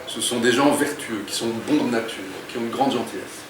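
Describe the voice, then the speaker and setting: A man's voice: mid-range voice, a little fast, background noise. A man, an author, talking in an interview and reading from his book, thoughtful and a little hesitant. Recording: roomy echo, distant mic.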